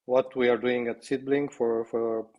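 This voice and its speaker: A slightly hoarse man speaking on a video call as a company co-founder, opening a webinar presentation in a halting, modest way. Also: medium pitch, little pitch movement, darkish tone, highs cut off. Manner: halting, self-deprecating.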